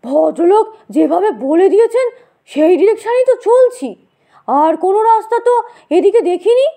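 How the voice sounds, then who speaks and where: Woman acting stout food-lover, comic audio story, insistent, urging, very high animated voice. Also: normal speed. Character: stout, food-loving man, eager and insistent.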